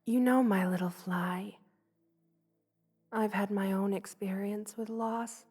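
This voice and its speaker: A woman voicing a gentle, loving spider queen in an ASMR roleplay, with a tender, soothing and gently formal way of speaking. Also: mid-range voice, steady tone, slow pace, slightly rough, faint music behind. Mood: warm, affectionate.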